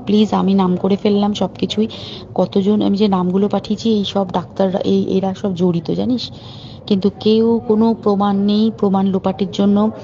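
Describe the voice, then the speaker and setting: A woman's voice: mid-range voice, little pitch movement, normal speed, warm tone. Woman, informal phone talk, indignant, urgent, dull lo-fi call audio, light background noise. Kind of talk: private phone call, recounting events. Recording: muffled phone call recording with faint hiss.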